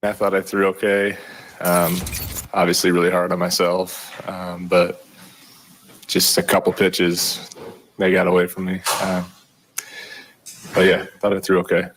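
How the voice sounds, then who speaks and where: A young man, a rookie pitcher, answering postgame questions in a modest, low-key way with many ums. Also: completely flat delivery, a little slow, long pauses, fairly bright tone, slight background noise, rough recording. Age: young adult. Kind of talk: postgame media interview.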